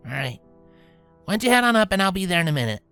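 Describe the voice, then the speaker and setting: A woman's voice: lowish voice, very animated, fast, fairly bright tone, faint music behind. A woman voicing a confident, caring female pegasus pony in an audio storybook, casual and reassuring with a touch of cockiness. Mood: gentle, caring.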